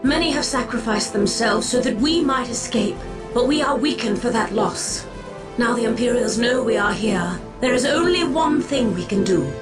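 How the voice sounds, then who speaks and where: An actress playing a grave, mystical alien seer leader in a video game, formal and composed in a portentous way. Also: female voice, a little high, animated, moderate pace, close to an everyday voice. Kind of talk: dramatic video game voice acting. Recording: loud music under the voice.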